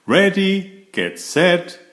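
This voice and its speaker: A man's voice: high-pitched, big pitch swings, extremely slow, fairly bright tone, voice pushed up, heavily acted. A man voicing a commanding adult bear preacher who announces things in a storybook. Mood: announcing. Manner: announcing, authoritative. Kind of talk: storybook character voice.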